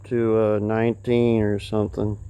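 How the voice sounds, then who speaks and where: A man's voice: lowish voice, completely flat delivery, normal speed. A man rambling casually to viewers in a folksy way, presenting as a CB radio hobbyist. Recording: clear background noise, radio static. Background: CB radio static and chatter.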